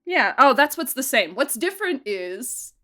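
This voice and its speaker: An enthusiastic young woman with a fairly high voice talks about producing and directing. She laughs often and tumbles forward in quick, eager bursts. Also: very animated, pushed and bright. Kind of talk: podcast interview.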